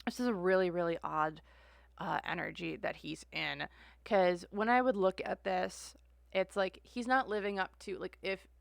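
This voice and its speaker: A woman's voice: on the low side, moderate pace, vocal fry, dry recording. Chatty woman with a dry close sound. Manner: rambling, heavy use of "like" and "uh". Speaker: tarot reader. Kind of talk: casual tarot reading.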